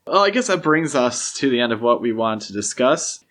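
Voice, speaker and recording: A man's voice: medium pitch, very animated, normal speed, a bit bright. A man co-hosting a podcast, chatting casually and giving his opinions with emphasis. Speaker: podcast co-host. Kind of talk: casual film discussion chat.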